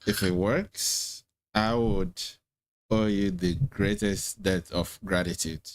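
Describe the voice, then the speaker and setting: Man, Igbo speaker, low voice, unhurried, soft-spoken interviewer. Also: expressive, dry recording. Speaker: host. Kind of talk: casual interview conversation.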